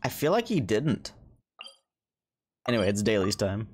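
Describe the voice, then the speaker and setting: A man's voice: on the low side, very animated, normal speed. A young American man doing casual, slangy gameplay commentary in an offhand, musing way as a livestreamer. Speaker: video game livestreamer. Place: indoor streaming room with webcam. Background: game music.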